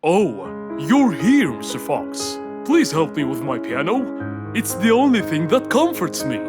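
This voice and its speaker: Slow, fretful cartoon king played by an actor. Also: mid-range voice, huge pitch swings. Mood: forlorn. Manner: plaintive, dramatic. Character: fretful, melodramatic royal animal king, adult male. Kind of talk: children's cartoon character acting. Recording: music behind the voice.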